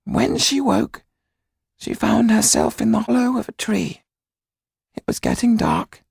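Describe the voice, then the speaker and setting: Old romany mystic for an audiobook, super husky n creaky, slow solemn hushed storyteller. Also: male voice, high-pitched, wildly swooping pitch, no room sound, studio quality, pitched above the performer's own voice, heavily acted. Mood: grave sorrow. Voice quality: whispery, gravelly, husky. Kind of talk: audiobook character reading.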